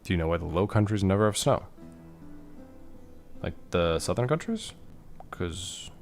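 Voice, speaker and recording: A deep-voiced man with a gravelly edge talks unhurriedly through his strategy game, musing aloud and answering chat. Soft music plays faintly under his voice. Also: expressive, a little slow. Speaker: streamer playing a strategy game. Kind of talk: casual gameplay commentary reading chat.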